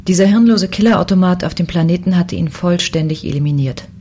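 A woman's voice: fairly low pitch, a little fast, mellow, a little hiss or hum, lightly acted. A woman playing a grave, regretful police commissioner, narrating in a science fiction audio drama. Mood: bitter, regretful.

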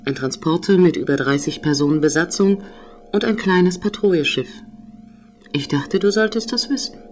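A woman's voice: fairly low pitch, normal speed, darkish tone, natural voice. A woman playing a courteous, composed female station computer with a flirtatious streak in a German sci-fi radio play. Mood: businesslike. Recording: music behind the voice.

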